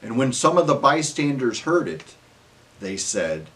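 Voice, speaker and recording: A man narrating scripture as a solemn dramatic reading, in measured phrases that trail off at the ends. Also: mid-range voice, very animated, a bit bright.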